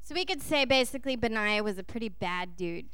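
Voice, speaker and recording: A woman's voice: on the high side, animated, brisk, fairly bright tone, clear, resonant tone, no echo. A woman from Texas preaching in short phrases, with a conversational, playful storytelling manner. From Texas, United States. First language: English. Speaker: guest preacher. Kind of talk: sermon delivered in short phrases for an interpreter.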